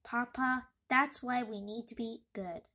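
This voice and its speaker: A woman plays a little cartoon kitten boy, sweet and earnest. The voice is high and bright, gently pleading. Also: fairly even intonation, measured pace.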